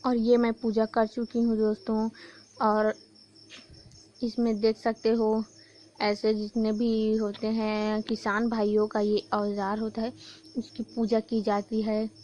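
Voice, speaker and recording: A young woman from Chhattisgarh, hoarse from a sore throat, chatting casually to her viewers in a home vlog. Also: fairly high pitch, completely flat delivery, slow, mellow, dull audio, slight background noise. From Chhattisgarh, India. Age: young adult. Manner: chatty, keeps addressing viewers as friends. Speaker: vlogger. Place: village home during a festival.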